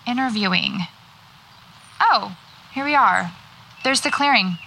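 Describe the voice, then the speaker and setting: Guarded horror writer, American accent, bright voice, dry terse delivery, audio drama, clearly noisy outdoor ambience. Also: female voice, fairly high pitch, lively intonation, takes it slowly, heavily acted. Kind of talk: naturalistic audio drama acting.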